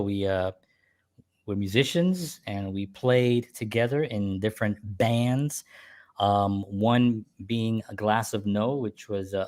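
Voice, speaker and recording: A man's voice: on the low side, a little mellow. A middle-aged man hosting a show and reminiscing about being a musician in a casual, rambling, jokey way.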